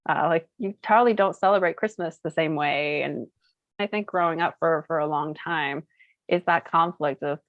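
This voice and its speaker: A woman's voice: deep voice, normal speed, no room sound, highs cut off. A woman in her thirties from Colorado whose first language is Cantonese, chatting casually as an interview guest with lots of fillers. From United States (Colorado). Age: 30s. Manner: chatty, uses many fillers and laughs easily. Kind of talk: casual chat, storytelling.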